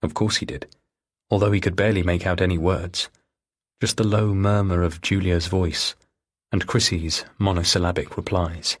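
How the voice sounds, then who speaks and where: Low, husky male audiobook narrator. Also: fairly even intonation, normal speed. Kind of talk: scripted thriller narration.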